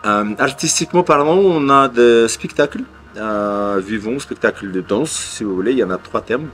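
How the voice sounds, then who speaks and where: A man's voice: medium pitch, lively intonation, moderate pace, fairly bright tone, faint music behind. A man speaking as an artistic director in an interview, hesitant, with many fillers and restarts. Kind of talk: interview answers.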